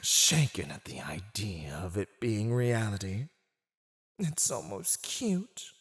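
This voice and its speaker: A man's voice: fairly low pitch, very animated, fairly bright tone, full character voice. A man playing a silver-tongued villain warlord who taunts a captive in a theatrical, mocking, teasing way for an ASMR roleplay. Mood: amused, taunting. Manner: teasing, mocking, theatrical, drawn-out delivery with chuckles.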